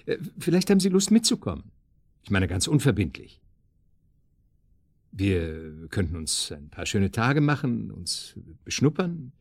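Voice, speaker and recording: A man's voice: fairly low pitch, big pitch swings, measured pace, gravelly, darkish tone, no room sound, exaggerated voice. An actor playing an eager, flirtatious man courting a blind date in a radio drama, courteous and a little hesitant. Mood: flirtatious, coaxing. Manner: hesitant, chuckling, courteous, coaxing. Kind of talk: naturalistic radio drama acting, phone conversation.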